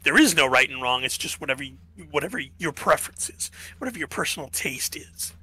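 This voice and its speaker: A man's voice: a little high, sing-song, fairly quick, bright, forceful tone, husky, rough recording. A man co-hosting a podcast, musing through philosophy in casual chat, checking in with "right?" and losing his voice. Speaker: podcast co-host.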